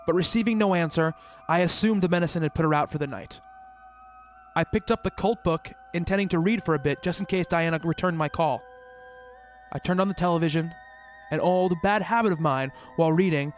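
An actor playing a concerned, skeptical doctor who narrates his investigation in a measured, formal radio play style. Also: on the high side, close to an everyday voice.

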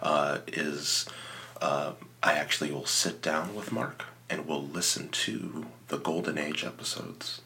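A man plays a reverent podcast character with an extremely low voice full of heavy fry. He speaks in halting, whispery phrases with a sharp edge, over noticeable background noise. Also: full character voice. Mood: earnest. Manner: halting, hushed, whispery delivery. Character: reverent, hushed small-town radio devotee and podcaster. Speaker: podcast guest and producer. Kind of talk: improvised comedy podcast character.

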